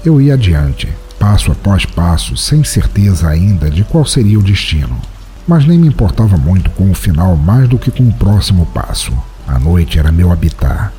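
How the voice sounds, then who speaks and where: A man plays a brooding, solitary writer of the night in an audio drama. His voice is low and mellow, with lively movement in pitch. He narrates his wanderings in a measured, introspective, literary manner.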